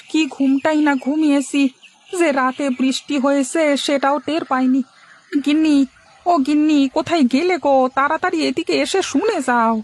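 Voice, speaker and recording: A woman voicing an excitable, anxious but eager village farmer husband in a cartoon story, exclaiming and repeating words. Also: high-pitched, natural voice. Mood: puzzled. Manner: exclamatory, lamenting and calling out in repeats.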